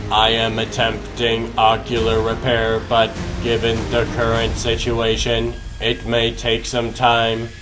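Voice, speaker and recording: A man's voice: medium pitch, monotone, over music, lightly acted. A man voicing a composed, analytical robot advisor in a comic dub, speaking precisely and without emotion. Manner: precise, measured, unemotional. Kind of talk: dramatic comic dub acting.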